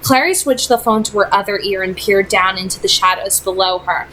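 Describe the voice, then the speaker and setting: A woman's voice: mid-range voice, brisk, bright, forceful tone, slight background noise, rough recording. A woman reading fiction aloud as a storyteller, in scripted narration. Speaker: audiobook-style reader.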